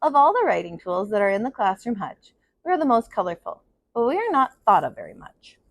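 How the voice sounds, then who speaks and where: A woman reading a children's picture book aloud in an expressive kindergarten teacher's style. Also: mid-range voice, brisk, no echo, clean studio sound. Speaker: kindergarten teacher, storybook reader.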